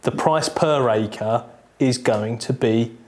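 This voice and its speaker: A man's voice: medium pitch, slow, darkish tone, roomy sound. Man chiming in briefly. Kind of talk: casual podcast chat.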